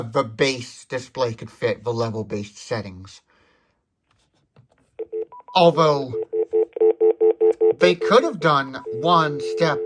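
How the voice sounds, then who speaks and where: Detailed tech demonstrator with a mid-pitched voice. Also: fairly bright tone, slight background noise, low-quality recording. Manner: detailed, explanatory. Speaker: product demonstrator.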